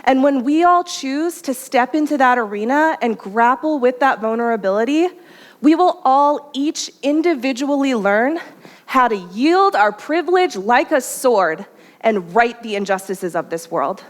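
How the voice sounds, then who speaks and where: A woman with a fairly high voice gives a prepared talk, and she stresses her phrases in a slow, deliberate way. A long reverb from the hall trails after her words. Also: animated. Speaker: speaker.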